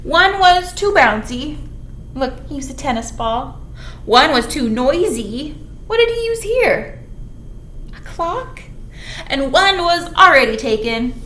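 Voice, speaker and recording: A woman's voice: sits high, big pitch swings, slow, a bit bright. A woman leading storytime for young children, reading aloud in a playful, exaggerated way with chatty asides to her little listeners. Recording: roomy echo with background noise.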